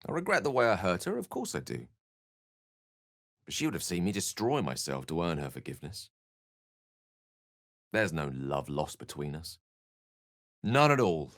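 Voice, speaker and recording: An actor playing an eloquent, theatrical wizard in a fantasy video game, learned, courtly and wry, with articulate, bookish phrasing. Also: male voice, sits low, huge pitch swings, moderate pace, pauses often, a bit bright, no room sound, studio quality, exaggerated voice. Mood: sorrowful.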